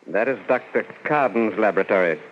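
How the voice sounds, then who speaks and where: An actor playing a domineering, coolly arrogant nuclear physicist in an old-time radio drama. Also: male voice, lowish voice, animated, a little fast, lo-fi sound, lightly acted. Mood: calm, imperious. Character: menacing, domineering, megalomaniac male nuclear physicist. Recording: noisy, band-limited vintage radio recording.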